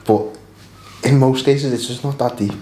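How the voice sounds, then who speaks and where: A man's voice: medium pitch, steady tone, moderate pace, dark tone, a little hiss or hum. British guy, blunt n slangy, casual debate, bit echoey. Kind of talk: casual group discussion. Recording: roomy echo.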